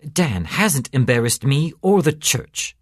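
Man playing a genial priest, very animated pitch. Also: measured pace, husky, dry recording, studio quality. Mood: earnest, resolute. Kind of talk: storytelling character voice.

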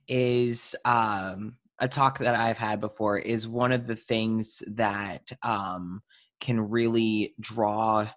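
A man's voice: medium pitch, fairly bright tone. Male podcast host, young adult, mid-range voice, informal conversational interview style.

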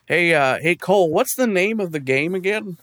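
A man's voice: high voice, animated, normal speed, a bit bright, no room sound, studio quality. A man co-hosting a podcast, explaining a video game in casual, wry, sweary chat with hesitant phrasing.

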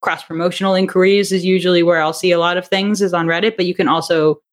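A woman's voice: on the low side, steady tone, quick pace. A young adult woman chatting casually as an interview guest, with a giggly, talkative manner. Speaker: interview guest, audio drama writer and director.

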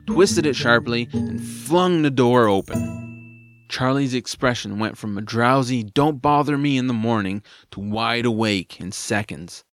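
A man narrating a scripted story in an expressive, dramatised storytelling manner. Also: mid-range voice.

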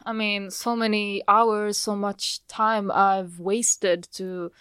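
Young woman with a smooth, clear voice. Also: mid-range voice, drawn-out words, no room sound, clean studio sound. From Sweden, Polish parents. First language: Polish and Swedish. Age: young adult. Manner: relaxed, chatty, laughs often. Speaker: podcast co-host. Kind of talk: casual chat. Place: radio studio. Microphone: studio microphone.

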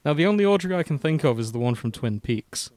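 A man's voice: on the high side, expressive, darkish tone, no echo. A man co-hosting a casual comic podcast. He reads fanfiction aloud and jokes in a brash, sweary way. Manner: brash, sweary, quick to joke. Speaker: podcast co-host and reader.

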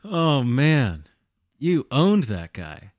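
A man's voice: medium pitch, huge pitch swings, very slow, a little mellow, no echo, clean studio sound, faint music behind. A man hosting a casual comedy podcast, teasing and mock-serious in his banter. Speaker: podcast host.

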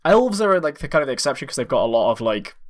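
A man chats casually as a podcast co-host about his hobby. His voice sits at an average pitch, and he talks in a hesitant, rambling, self-deprecating way. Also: very animated, fast. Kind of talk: casual tabletop gaming chat.

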